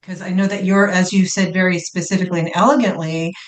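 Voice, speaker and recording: A woman's voice: on the low side, steady tone, quick pace. A woman guest on an interview podcast, chatty and curious, asking long winding questions. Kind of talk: conversational interview. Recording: echoey room.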